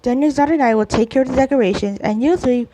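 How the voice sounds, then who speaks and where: A woman gaming streamer reading dialogue aloud during a playthrough, halting and stumbling, often repeating words. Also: sits high, background noise. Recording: game music behind the voice. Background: video game music.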